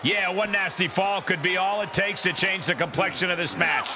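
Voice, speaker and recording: A man playing a TV wrestling play-by-play announcer, giving excited commentary in a video game. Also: high-pitched, normal speed, close to an everyday voice. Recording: loud arena crowd and noise.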